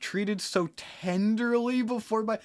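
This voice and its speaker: A man's voice: sits high, fairly even intonation, slow. A man co-hosting a podcast in casual banter, with blunt, emphatic complaints. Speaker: podcast co-host.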